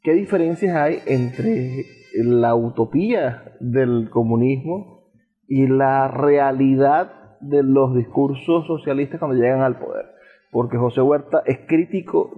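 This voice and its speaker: A man's voice: medium pitch, husky. A man hosting a radio interview. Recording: reverberant thin phone-like sound, faint music.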